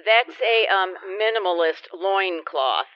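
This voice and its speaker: A woman's voice: mid-range voice, expressive, takes it slowly, smooth, dry recording. A woman playing an earnest, slightly awkward television sports reporter in an audio play. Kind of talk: broadcast reporter delivery in audio drama. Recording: dull, dry broadcast sound.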